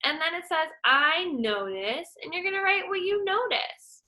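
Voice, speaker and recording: Bright-voiced woman teacher reading aloud. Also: very high-pitched, fairly even intonation, a little fast. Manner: encouraging, explaining to young students. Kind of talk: children's read-aloud and lesson.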